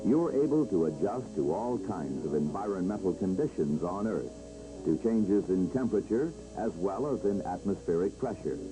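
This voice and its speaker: An American man giving a dramatic, measured scripted narration for a 1960s training film, on an old film soundtrack. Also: low-pitched, lively intonation, poor audio. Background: loud background music.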